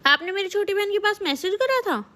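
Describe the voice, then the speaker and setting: A woman's voice: extremely high pitch, expressive, fairly quick, bright, forceful tone, full character voice. A woman playing a sweet, teasing young daughter-in-law in a comedy skit. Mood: coy, teasing.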